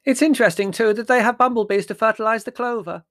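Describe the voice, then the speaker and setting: A man's voice: high-pitched, quick pace, fairly bright tone, no room sound, studio quality, voice pushed up. A man voicing a cheerful, rambling, dotty old aunt in a chirpy, musing storybook manner. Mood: chirpy, oblivious. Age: older.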